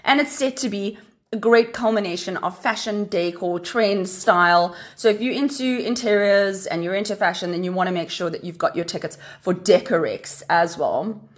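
A woman's voice: medium pitch, moderate pace. An enthusiastic South African woman hosts radio in a mid-range voice. She presents and interviews in an upbeat, effusive way. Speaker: radio show host.

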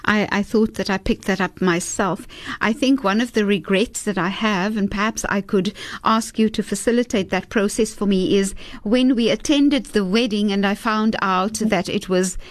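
A woman hosting a radio interview, asking thoughtful, measured questions. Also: medium pitch, no room sound. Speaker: radio host.

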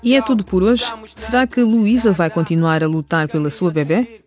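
A man's voice: high-pitched, normal speed, a little mellow, faint music behind. A man narrating a radio drama as its announcer, reading a script.

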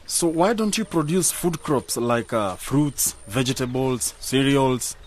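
An actor playing an earnest young graduate in a radio drama, with a polite, questioning manner. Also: male voice, mid-range voice, unhurried, close to an everyday voice. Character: earnest young male graduate returning to his village.

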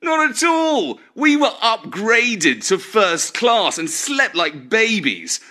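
A man acts out a breezy, self-assured uncle from a children's story. He drawls colloquially and emphatically, taking his time, with a high voice that leaps about. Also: very animated, slow pace, bright, forceful tone, full character voice. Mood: breezy, pleased. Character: breezy, charmed, self-assured younger or middle-aged uncle.

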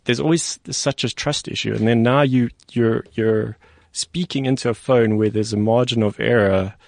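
A co-host with a gravelly, fry-laden voice muses hesitantly and often restarts his sentences. The sound is close and completely dry. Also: mid-range voice, normal speed, darkish tone, no echo. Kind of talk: casual chat.

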